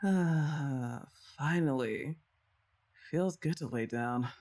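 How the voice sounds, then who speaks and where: A young woman performing a scripted ASMR roleplay, talking straight to the listener in a teasing, playfully bossy way, with sighs and soft laughs between lines. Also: deep voice, extremely slow.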